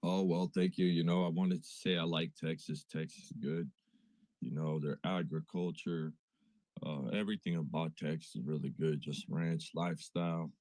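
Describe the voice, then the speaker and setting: A man's voice: rumbling low, steady tone, normal speed, a little mellow, no room sound. A man from Siberia with a Russian accent, chatting playfully as a caller. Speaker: guest caller. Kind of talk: casual chat on a live audio space.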